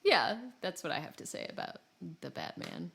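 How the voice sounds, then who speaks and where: A woman's voice: low-pitched, wildly swooping pitch, moderate pace, creaky, crowd noise. A woman hosting a podcast, chatting casually about games in a giggly, conversational, self-deprecating way. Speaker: podcast host. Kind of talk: casual gaming podcast chat.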